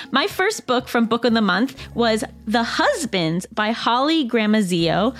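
Female podcast host, high-ish register, very lively intonation, chatty and conversational. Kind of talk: casual chat and conversational sponsor read.

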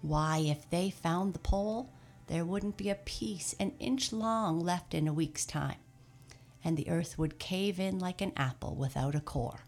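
A woman voicing a brisk, kindly old Santa Claus who speaks heartily and gives cheerful commands. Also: lowish voice, a little mellow, faint music behind. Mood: hearty.

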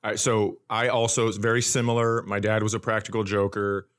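Lowish-voiced man riffing wryly. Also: flat delivery, a little fast, fairly bright tone. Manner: wry, riffing with mock-sincere asides. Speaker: comedian and podcast co-host. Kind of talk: casual joking banter.

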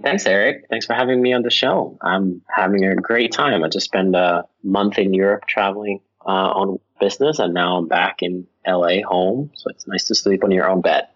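A man from Finland speaks as an interview guest, and his voice sits very low. He talks in a loose, rambling way, with plenty of ums and restarts. The recording sounds a little muffled and lo-fi. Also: normal speed, few pauses. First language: Finnish. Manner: relaxed, rambling with fillers and restarts. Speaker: company founder, guest. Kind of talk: casual interview answers.

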